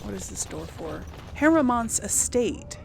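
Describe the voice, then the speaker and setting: Unhurried woman thinking aloud while gaming. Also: on the high side, very animated, vocal fry. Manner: rambling, musing to herself. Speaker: let's play host. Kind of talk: casual game commentary, thinking aloud. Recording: faint background noise.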